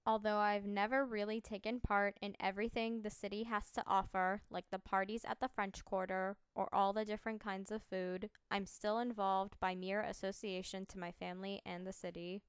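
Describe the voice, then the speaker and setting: Young woman, student narrator, calm steady read, very crisp voice, dry close recording. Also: mid-range voice, moderate pace, hardly any pauses, dry recording. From New Orleans area, USA. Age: young adult. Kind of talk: scripted personal narration.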